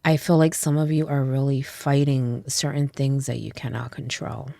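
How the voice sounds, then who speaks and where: A woman's voice: rumbling low, flat delivery, normal speed, gravelly, dark tone, no room sound, studio quality. A woman doing a casual tarot reading, a little stuffy from a cold, chatty and often checking in with "okay?" and "right?". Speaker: tarot reader.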